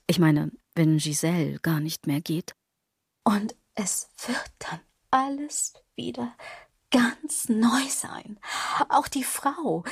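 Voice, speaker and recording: Husky, sardonic, brooding woman. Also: fairly low pitch, darkish tone, dry recording, studio quality, exaggerated voice. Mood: brooding, ironic. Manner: ironic, theatrical, shifting into breathy whispers. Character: sardonic, brooding woman philosophising bitterly about fashion. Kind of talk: radio drama monologue.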